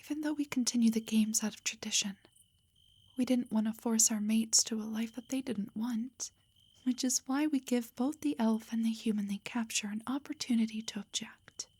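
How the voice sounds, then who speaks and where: A woman playing a loving, protective elf wife in an intimate roleplay audio, speaking tenderly and reassuringly in a breathy whisper. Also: on the high side, moderate pace, a little mellow, no room sound. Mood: tender, soothing.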